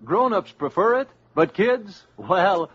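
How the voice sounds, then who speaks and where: An American announcer, a man with a high and very lively voice, reads a 1950s radio commercial. The recording is muffled. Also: slow, husky. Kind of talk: 1950s radio advertising pitch.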